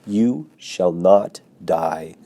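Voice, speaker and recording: A man voicing a wise, kind female spider who talks in a brisk, measured way. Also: on the low side, animated, mellow. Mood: calm.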